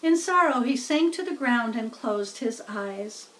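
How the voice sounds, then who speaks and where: A woman's voice: fairly high pitch, lively intonation, a little slow, a bit bright, recorded from a distance. A woman narrating a children's story as a read-aloud storybook reader, recorded a little away from the microphone.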